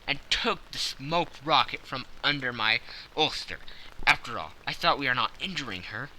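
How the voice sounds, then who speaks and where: A man narrating a classic story as an audiobook, measured and expressive. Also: mid-range voice, slight background noise, poor audio.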